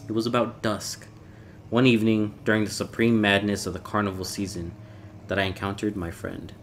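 Deep-voiced man narrating a horror story. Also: level tone, fairly quick. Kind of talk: dramatic reading of a classic horror story. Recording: clear background noise, ambience.

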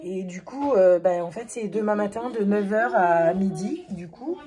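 Hoarse forties woman, chatty home vlogger, echoey kitchen with kids around. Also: medium pitch, lively intonation, normal speed, a crowd in the background. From France. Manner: chatty, many fillers and restarts. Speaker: family vlogger. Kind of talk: casual chat to camera. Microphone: phone camera at arm's length. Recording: echoey room with family noise.

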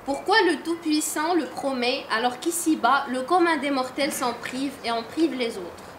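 A woman in her forties reading a prepared literary review aloud from her phone in a measured way, in a salon full of listeners. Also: high-pitched, roomy sound, background noise. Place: large salon room with audience.

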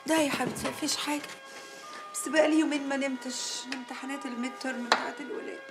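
A woman's voice: high-pitched, fairly even intonation, rapid-fire, music in the background. A woman acting an exasperated, sarcastic mother and wife in a TV comedy-drama, with an Egyptian accent and emphatic, repetitive phrasing. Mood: weary.

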